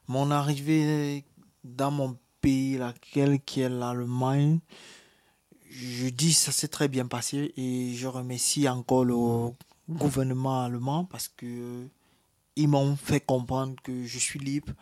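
A man with a Cameroonian French accent answers interview questions in a level, even-pitched voice. He talks hesitantly, filling pauses and restarting his sentences. His pitch sits in an ordinary middle range and barely moves. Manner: hesitant, many fillers and restarts. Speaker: interviewee, asylum seeker. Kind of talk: personal testimony in an interview.

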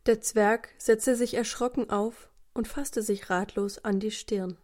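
Female storyteller for children's radio play. Warm, mellow, medium pitch, scripted narration. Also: steady tone, measured pace.

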